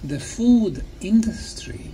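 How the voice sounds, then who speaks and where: A man, a native Bosnian speaker, giving a talk to a group in short, simple phrases with pauses for an interpreter. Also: sits high, lively intonation, dark tone, a little hiss or hum. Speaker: speaker, tour guide.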